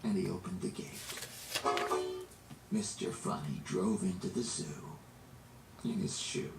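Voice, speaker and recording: A man reading a children's story aloud in an expressive, dramatic way, drawing out words for emphasis. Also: deep voice, gravelly, over music. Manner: animated, dramatic, drawn-out emphasis. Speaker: storybook reader. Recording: roomy, distant, noisy amateur recording.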